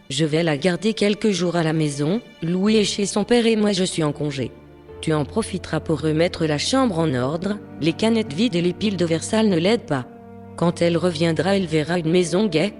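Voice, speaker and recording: A woman's voice: lowish voice, darkish tone, natural voice. A woman playing a bossy but caring friend in an audio drama, with a familiar, teasing, no-nonsense manner. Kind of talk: French audio drama acting.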